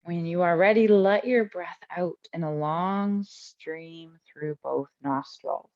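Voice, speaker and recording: A woman teaching a guided breathing meditation in a calm, unhurried way with long pauses between instructions. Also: lowish voice, highs cut off.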